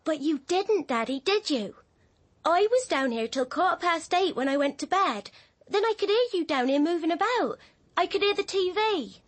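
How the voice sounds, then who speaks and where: Young girl, high voice, lilting, anxious, coaxing, radio play. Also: expressive, normal speed. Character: anxious, coaxing young daughter devoted to her father. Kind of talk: radio drama acting.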